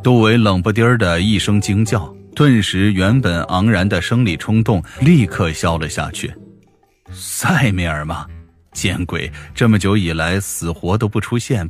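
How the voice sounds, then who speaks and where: A man narrating a fiction audiobook in an expressive, dramatic storyteller manner. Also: deep voice, moderate pace, faint music behind.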